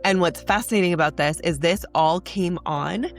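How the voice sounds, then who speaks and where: A woman's voice: fairly low pitch, normal speed, soft background music. A young woman hosting a spiritual podcast, talking in an earnest, chatty way, congested from a cold. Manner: earnest, chatty, says "like" often. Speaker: podcast host. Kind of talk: casual spiritual podcast monologue.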